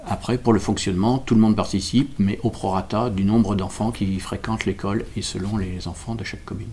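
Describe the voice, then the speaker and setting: A man from France giving explanatory interview answers as a local representative, halting and correcting himself as he searches for words. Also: fairly low pitch, steady tone, darkish tone, a little hiss or hum. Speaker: local representative explaining a village school project.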